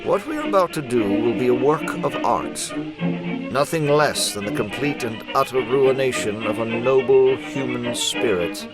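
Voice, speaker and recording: A man playing a menacing, grandiose criminal mastermind, speaking deliberately in a comic book drama. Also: mid-range voice, expressive, natural voice, poor audio. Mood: gloating. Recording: loud music under the voice.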